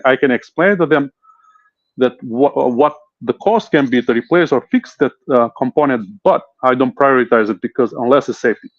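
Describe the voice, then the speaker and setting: A man's voice: mid-range voice, fairly bright tone, no echo. Hesitant man with a dull dry sound. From Italy. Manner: earnest, hesitant, many "uh" fillers. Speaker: home inspector guest. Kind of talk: interview answers.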